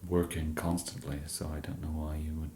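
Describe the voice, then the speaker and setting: An English man in an ASMR roleplay as a shop genius, speaking softly and hesitantly with many fillers and a dry, self-deprecating humour. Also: rumbling low, flat delivery, moderate pace, reverberant, slight background noise. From England.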